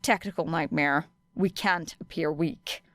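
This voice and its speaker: A woman's voice: low-pitched, measured pace, a bit bright, dry recording, clean studio sound. A woman voicing a scrappy, determined teenage girl in an audiobook, casual and blunt in manner. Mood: decisive.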